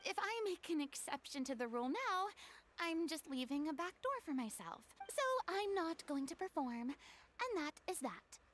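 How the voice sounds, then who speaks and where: A woman's voice: high-pitched, very animated, normal speed, a bit bright, slight background noise. A woman voicing a proud former stage star in a video game, speaking in a theatrical, haughty way. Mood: firm. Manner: theatrical and haughty, turning sheepish. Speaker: game character.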